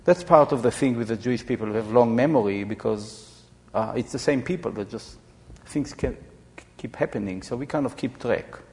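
A man in his seventies from Israel, explaining informally to an audience in a halting, reflective way. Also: mid-range voice, darkish tone. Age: 70s. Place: large echoey room or hall. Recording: reverberant hall sound.

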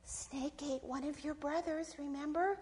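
A woman's voice: sits high, fairly even intonation, a little slow, darkish tone, big-room sound, pitched above the performer's own voice. A woman voicing a small, cautious inner voice in a folktale, giving urgent, repeated warnings. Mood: cautioning, urgent. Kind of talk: oral folktale character.

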